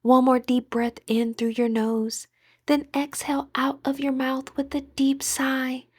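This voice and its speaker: A woman leading a scripted guided meditation in a soothing, deliberate manner that often softens into breathiness, recorded close on a voice-over microphone. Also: high voice, level tone, no room sound.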